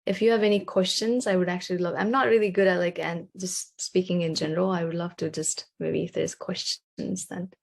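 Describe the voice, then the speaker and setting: A woman's voice: fairly low pitch, steady tone, brisk, gravelly, hardly any pauses, darkish tone. A young woman from Nepal, a graduate student talking casually and hesitantly in an online session, with many ums.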